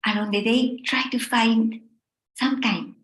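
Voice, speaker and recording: A middle-aged woman from Spain with a Spanish accent, gently leading a meditation talk in short, unhurried phrases with pauses. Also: a little high, fairly even intonation, echoey room. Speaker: meditation teacher.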